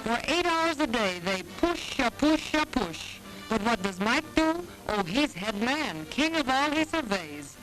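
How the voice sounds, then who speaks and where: A woman narrates a 1940s newsreel with a high, animated voice. She is wry and playful in her scripted delivery, with loud music behind her on the archival recording. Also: unhurried, hardly any pauses, rough recording.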